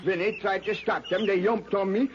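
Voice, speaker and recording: A man's voice: high voice, fairly even intonation, normal speed, natural voice. An actor playing a sly, treacherous man on an expedition in a 1930s radio drama, who talks with smug chuckles. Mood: feigning alarm. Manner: sly, chuckling, feigned innocence. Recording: dull 1930s radio recording, faint hiss.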